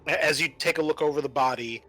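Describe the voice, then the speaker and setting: A man acting as game master, describing scenes in a conversational, improvised, halting way for a tabletop roleplaying game. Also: fairly high pitch, sharp tone, rough recording.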